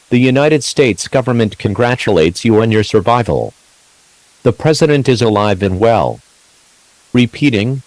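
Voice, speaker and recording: A man reading a scripted emergency broadcast announcement in a formal, measured, official manner. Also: on the low side, a little mellow, no echo, low-quality recording.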